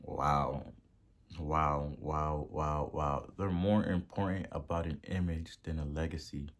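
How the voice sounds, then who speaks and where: A man with a Haitian accent hosting a podcast and giving a motivational talk, preachy, repeating words for emphasis and swelling to loud peaks. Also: a bass voice, fairly even intonation, slow. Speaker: podcast host.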